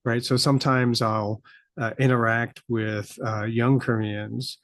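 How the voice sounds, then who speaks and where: A middle-aged man with an American accent talks through his thoughts on a solo podcast, pausing often and filling gaps with "uh". His voice sits at an ordinary pitch for a man. The sound is dry and close, with no room around it. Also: little pitch movement, no echo. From United States. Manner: reflective, thinks aloud with frequent fillers. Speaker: podcast host.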